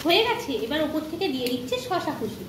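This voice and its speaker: A very high-voiced middle-aged woman narrates home cooking in a casual, chatty way. She explains each step as she goes, with sizzling and stirring noise clearly behind her. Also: expressive, fast, reverberant. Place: home kitchen. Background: frying sizzle, stirring.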